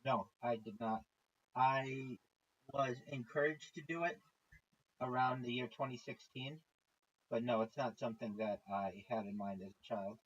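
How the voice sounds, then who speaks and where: A man speaks slowly in a slightly husky voice, pausing often and filling gaps with small hesitations. He talks casually about his own path as a rabbi. The recording sounds dull and lo-fi. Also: mid-range voice. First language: not Hebrew. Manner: halting, with many pauses and fillers. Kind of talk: casual chat while playing golf.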